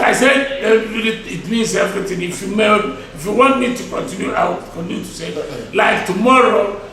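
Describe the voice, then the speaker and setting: A man of about seventy with a Nigerian Igbo accent, answering interview questions as a chief in a deliberate, emphatic way, repeating his phrases. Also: sits high, pushed and bright. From Nigeria (Igboland). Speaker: traditional title chief being interviewed. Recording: echoey, distant mic, crowd noise behind.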